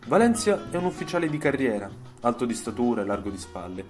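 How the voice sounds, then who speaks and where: A man narrating a scripted story for radio, in measured phrases with dramatic pauses. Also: mid-range voice, big pitch swings. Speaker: storyteller and author. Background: soundtrack music.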